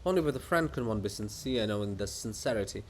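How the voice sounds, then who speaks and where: A man voicing a weary, sardonic monument in a dramatic stage reading, speaking in a measured, dry, cutting way. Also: fairly low pitch, expressive, slight background noise, voice pushed down, natural voice. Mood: weary reproach. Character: weary, sardonic Hohol monument, blunt and coolly scolding.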